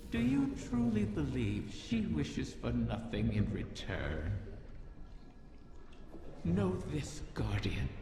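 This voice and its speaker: An actor voicing an ancient, cunning wish-dragon in a video game, speaking in slow, insinuating, theatrical questions. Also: medium pitch, gravelly, heavily acted. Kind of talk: scripted video game character dialogue. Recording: long cavernous reverb, music underneath.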